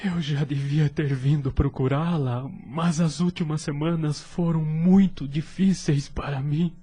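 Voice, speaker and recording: A desolate Brazilian widower in a radio-style audio drama. He speaks slowly, in a muted, slightly husky voice, formal and hesitant in his sorrow. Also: a little high, steady tone, dark tone, soft background music. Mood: grieving, desolate. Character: grieving widower, courteous, hesitant, desolate and lonely. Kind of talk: Portuguese-language audio drama acting.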